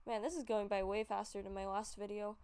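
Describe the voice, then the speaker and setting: Smooth-voiced woman with a stuffy nose. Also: mid-range voice, flat delivery, a little fast, a little mellow. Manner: rambling, frequent fillers and self-corrections. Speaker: YouTuber showing a game collection. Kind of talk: casual commentary over gameplay.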